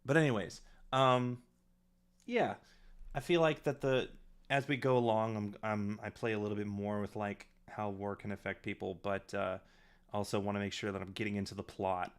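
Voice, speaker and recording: A man with a fairly low voice hosts a casual director's commentary. He talks in a halting, reflective, rambling way, as on a relaxed live stream. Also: smooth. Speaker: audio drama director and stream host.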